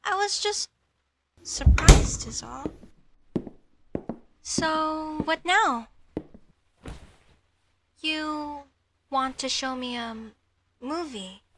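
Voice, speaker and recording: Woman acting as young female elf mage, high-pitched, slow-paced, expressive, indignant, inquisitive, comedy roleplay, noisy background. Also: exaggerated voice. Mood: flustered, defensive, then curious. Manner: expressive, questioning, proudly defensive. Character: outspoken, curious young female elvish mage, easily indignant. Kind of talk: comedic audio roleplay, spoken to the listener.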